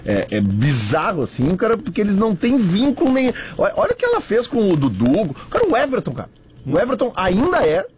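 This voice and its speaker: A man with a southern Brazilian accent hosting a sports talk radio show, animated and chatty. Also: sits high, a little fast, a little hiss or hum. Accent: southern Brazilian, Rio Grande do Sul. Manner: animated, says "cara" a lot.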